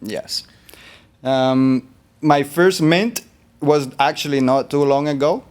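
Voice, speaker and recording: A man from Colombia, a guest artist in an interview, talking in a relaxed, rambling way with fillers. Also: on the high side, slow pace, clean tone. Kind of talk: interview conversation.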